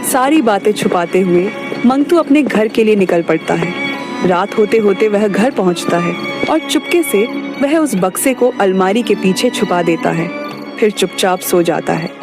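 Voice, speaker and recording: A woman's voice: mid-range voice, expressive, a little slow, music in the background. A woman narrator reading a scripted moral story for a cartoon.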